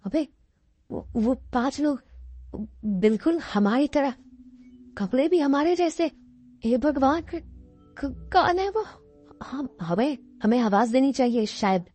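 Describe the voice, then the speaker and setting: A woman's voice: sits high, expressive, slow, long pauses, darkish tone, husky, voice pushed up, full character voice. Woman acting anxious stammering young man. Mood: alarmed. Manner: stammering, repeating words in alarm. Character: anxious young man, one of five traveling friends. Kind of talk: horror cartoon character acting. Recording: music bed behind the voice.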